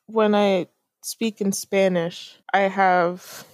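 A woman's voice: medium pitch, fairly even intonation, slow, no echo, studio quality. A young woman chatting casually with a friend, thinking aloud with many fillers and laughing often. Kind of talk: casual chat.

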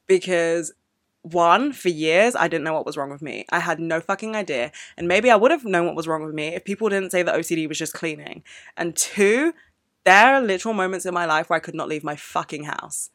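A woman's voice: lowish voice, brisk, a bit bright, no echo, studio quality. A young woman hosting a solo podcast, talking off the cuff with emotion and plenty of swearing. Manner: emotional, swears a lot, ranting. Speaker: podcast host. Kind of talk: unscripted solo podcast monologue. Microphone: podcast microphone.

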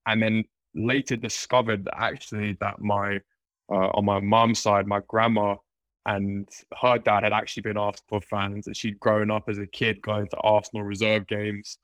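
A man's voice: lowish voice, little pitch movement, normal speed, fairly bright tone, no echo. A man from London with a London accent, a podcast guest talking casually, with many restarts and fillers. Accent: London English. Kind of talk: casual interview chat.

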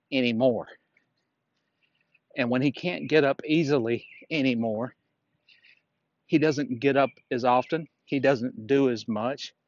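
A man's voice: mid-range voice, muffled recording. A man talking casually on a solo podcast as a fitness instructor, explaining things in a plainspoken, frank manner.

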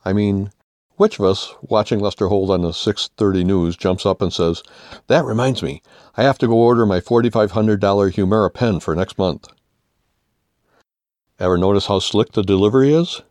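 Older American male essayist, low voice, humorous scripted read, dry close sound. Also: moderate pace, a little mellow, no room sound. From United States. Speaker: author reading own humorous essay. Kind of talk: scripted humorous first-person narration.

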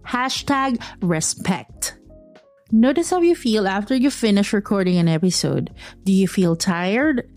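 Lively Filipino woman giving podcast tips. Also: medium pitch. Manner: lively, teasing and direct. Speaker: podcast host. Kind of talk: giving tips, mixing English and Tagalog.

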